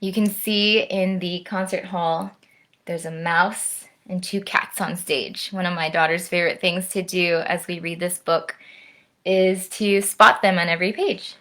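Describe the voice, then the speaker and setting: A woman, around her thirties, speaking to young children in an expressive storytime style, slowly and directly, recorded at home on a device microphone. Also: lowish voice. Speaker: storytime presenter, orchestra musician. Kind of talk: children's read-aloud and friendly chat to camera. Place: at home, indoors.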